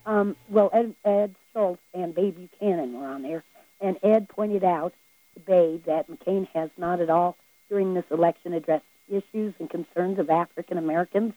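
A woman's voice: lowish voice, normal speed, dry recording, narrow, tinny audio. A woman calling into a radio show with a scratchy voice, telling an indignant story with emphasis. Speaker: caller. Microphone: phone line.

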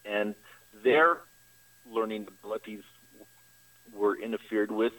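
An American man from Colorado phoning a radio show, telling personal experiences haltingly, with frequent pauses and fillers. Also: lowish voice, steady tone, fairly bright tone. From Greeley, Colorado. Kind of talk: personal account in a live call. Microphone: phone call to radio show. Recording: distant-sounding radio call-in line.

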